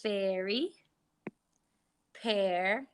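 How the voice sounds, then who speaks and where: An Irish woman teacher giving a phonics lesson to young children, sounding out letters slowly and clearly. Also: mid-range voice. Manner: slow, exaggerated sounding out of letters.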